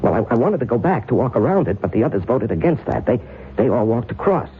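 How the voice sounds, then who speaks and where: A man's voice: mid-range voice, big pitch swings, lightly acted. Man acting a haunted, stammering novelist, noisy old radio. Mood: fearful recollection. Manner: stammering, halting, breaking off and repeating himself. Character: frightened, haunted male novelist, agitated and defensive. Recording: noisy, muffled phone-like old radio recording.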